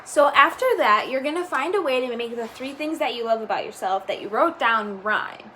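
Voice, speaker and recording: A young woman with a slightly high voice reads a book aloud for students. Her reading is earnest and steady, and she sounds bright and upbeat when she explains the activity. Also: moderate pace, a little hiss or hum. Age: young adult. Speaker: student reader.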